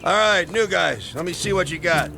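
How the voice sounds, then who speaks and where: A barking ball coach. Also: male voice, high voice, moderate pace, gravelly, over music. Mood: bossy. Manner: bossy, shouting orders. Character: barking male baseball coach.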